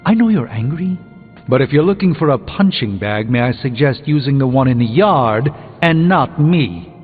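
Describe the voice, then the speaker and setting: A man's voice: medium pitch, animated, moderate pace, lightly acted. A man voicing a solemn, erudite sorcerer superhero in a video game, with formal, theatrical diction. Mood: stern. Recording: lo-fi game audio under loud music.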